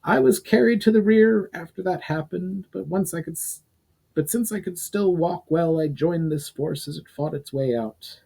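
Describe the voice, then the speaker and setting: A man's voice: sits high, normal speed, gravelly, mellow, breathy edge, voice pushed up, exaggerated voice. A man voicing a wizened, injured old archmage, grave and cautioning, in a fantasy audiobook. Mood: weary.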